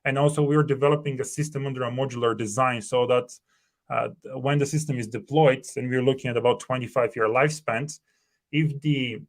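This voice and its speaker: Brief: man in his thirties, Bulgarian native speaker, earnest guest on a home video call, halting and filler-heavy. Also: mid-range voice. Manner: earnest, thinking aloud with many fillers and restarts. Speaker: startup co-founder, guest. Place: home room.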